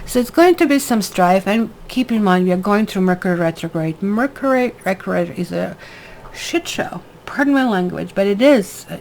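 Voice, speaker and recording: A woman's voice: medium pitch, lively intonation, normal speed, darkish tone, slight background noise, low-quality recording. A woman from Croatia with a Croatian accent, giving a rambling, casual tarot reading full of fillers and tag questions. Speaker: tarot reader.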